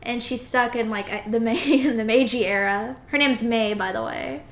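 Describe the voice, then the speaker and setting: A young woman from the southern United States co-hosts a casual, comedic podcast. Her voice sits fairly high. The recording is roomy, with a faint layer of background noise. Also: moderate pace, a bit bright. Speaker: podcast co-host.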